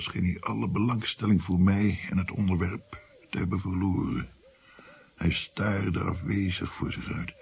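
Older male for radio play: rueful grandfather storyteller, very husky, deep voice. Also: darkish tone, heavily acted. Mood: reflective. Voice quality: gravelly, husky. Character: old grandfather physician recounting his past, rueful. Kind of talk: radio drama narration.

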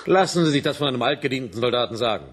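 An actor playing a stern, proud veteran army major in a radio drama, with a husky voice and a clipped military manner. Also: male voice, mid-range voice, animated, rapid-fire, a bit bright. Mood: resolute, commanding. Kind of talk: German science fiction radio drama acting.

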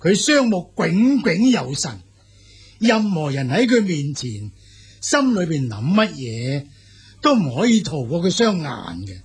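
A man with a Cantonese accent playing a smooth-talking, boastful military envoy in an audio drama, speaking formally and emphatically with a husky, gravelly voice. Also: fairly high pitch, big pitch swings, exaggerated voice. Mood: admiring, grand. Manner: formal, emphatic, deliberate praise and veiled warnings.

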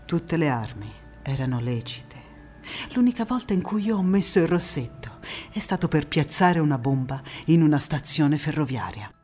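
A woman playing a low-voiced, impassioned young partisan. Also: expressive, moderate pace, a little mellow, slightly rough, soft background music. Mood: resolute. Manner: dramatic, emphatic delivery. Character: passionate young woman anti-fascist partisan recounting her war. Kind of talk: theatrical monologue storytelling.

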